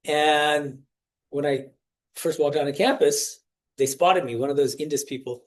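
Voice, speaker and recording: Higher-voiced man giving a chatty spiritual talk. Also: unhurried. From United States. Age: 40s. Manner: conversational, often restarts phrases and quizzes the listeners. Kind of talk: informal spiritual talk with audience questions.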